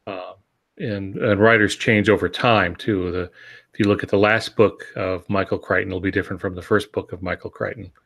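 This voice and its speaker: An older man, speaking as a panelist in a casual discussion, measured and explanatory. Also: low voice, steady tone, creaky, a bit bright. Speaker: podcast panelist and novelist.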